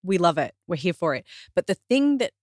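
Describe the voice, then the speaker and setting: A woman guesting on a podcast, a fitness trainer talking in an animated, earnest way with lots of "like" and self-corrections. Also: lowish voice, brisk, dry recording, studio quality. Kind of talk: casual podcast conversation.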